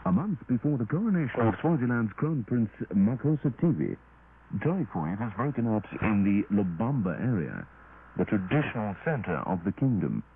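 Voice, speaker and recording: A man reading a formal scripted news bulletin with measured enunciation, on a 1980s shortwave radio broadcast. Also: lowish voice, sing-song. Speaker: radio newsreader. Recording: tinny, noisy 1980s shortwave radio recording.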